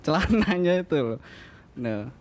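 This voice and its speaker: A man hosting a casual comic parody interview, bantering and throwing in quick repeated acknowledgements. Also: a little high, very animated, darkish tone. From Indonesia. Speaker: interviewer, host. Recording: clear background noise.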